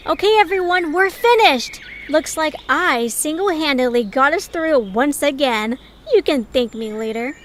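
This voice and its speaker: A woman's voice: sits high, animated, unhurried, few pauses, a little hiss or hum. A woman playing a bossy, self-assured young female leader in an audio drama, commanding and boastful. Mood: smug.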